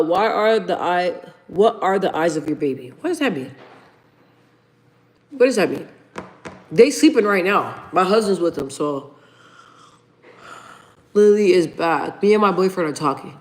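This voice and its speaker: A woman in her thirties hosting a casual livestream, chatting informally with viewers and reading their comments aloud. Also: on the low side. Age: 30s. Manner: chatty and informal, addresses viewers as "you guys". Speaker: livestream host.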